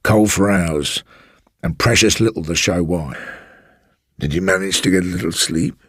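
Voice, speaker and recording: Man acting a husky, low-voiced old English manservant. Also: fairly bright tone, dry recording, clean studio sound. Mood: defensive, ominous. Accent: English, rustic working-class. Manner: slow, insinuating, colloquial storytelling. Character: sly elderly former manservant, evasive and brooding, recounting a haunting.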